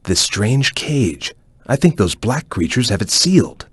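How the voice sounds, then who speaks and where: A man playing a calm, analytical ninja chameleon in a video game, giving wary hints in a deliberate, serious, husky voice. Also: lowish voice, no echo, exaggerated voice. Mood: measured, analytical. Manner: deliberate, serious, formal, cautioning. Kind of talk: video game character hint lines.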